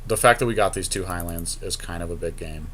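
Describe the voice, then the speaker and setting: Low-voiced man thinking aloud, slight background noise. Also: fast talker, fairly bright tone. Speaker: podcast co-host. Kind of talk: casual chat, thinking aloud through a card game draft.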